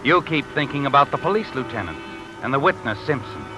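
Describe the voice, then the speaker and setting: A man's voice: mid-range voice, very animated, fairly quick, natural voice. An actor playing an ominous, all-knowing narrator in a 1950s radio mystery, taunting as he addresses the listener directly. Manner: insinuating, taunting second-person address. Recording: old radio broadcast with music.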